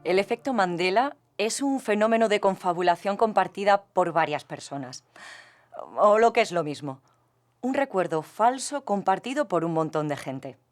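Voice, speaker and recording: A woman's voice: mid-range voice, lively intonation, moderate pace, soft background music, close to an everyday voice. A woman playing a composed academic psychologist, explaining articulately and patiently in a scripted expert interview. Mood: didactic, composed.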